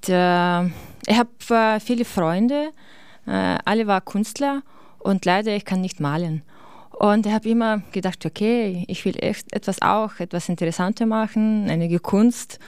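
Slow, hesitant woman from Ukraine. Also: medium pitch, darkish tone, clear, resonant tone, dry recording, clean studio sound. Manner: halting non-native German with many fillers and laughs. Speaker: guest photographer. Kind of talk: radio interview.

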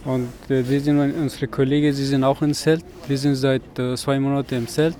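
A man from Afghanistan speaking haltingly at a street protest, often pausing to search for words. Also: medium pitch, flat delivery, dark tone. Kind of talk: street interview. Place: outdoors on a city street.

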